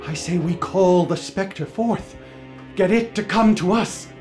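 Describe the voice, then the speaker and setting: A high-voiced, fervent occult seeker in a radio play, urgent and theatrical. Music runs beneath his lines. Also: measured pace, a little mellow. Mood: tense. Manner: urgent, theatrical, calls out to a spirit. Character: excitable occultist man named Callum, intense. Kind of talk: radio drama acting. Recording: music bed under dialogue.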